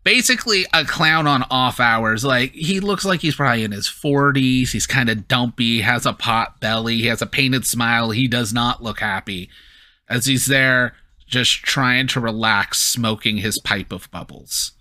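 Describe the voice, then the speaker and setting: A man's voice: medium pitch, unhurried, pushed and bright, no echo. A man narrating as game master for a tabletop game, in a casual, rambling way full of fillers.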